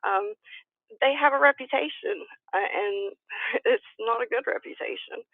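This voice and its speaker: A woman's voice: sits high, very animated, measured pace, gravelly, no echo. A woman guest talking earnestly and casually in an interview, laughing often and restarting her sentences. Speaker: website founder, guest. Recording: tinny dry phone line.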